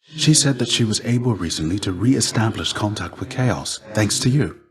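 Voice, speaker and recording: An actor playing the ghost of a fallen Greek warrior in a video game, a gentle, fatherly mentor with measured, formal, old-fashioned phrasing. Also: fairly low pitch, animated, natural voice. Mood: thoughtful. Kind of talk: video game character dialogue.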